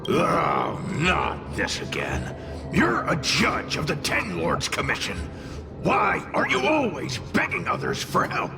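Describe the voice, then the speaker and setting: A gruff, bad-tempered spirit from a video game, blusters and scolds in a gravelly growl. The delivery is slow and theatrical, with loud music underneath. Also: male voice, fairly high pitch, huge pitch swings, heavily acted. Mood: scornful.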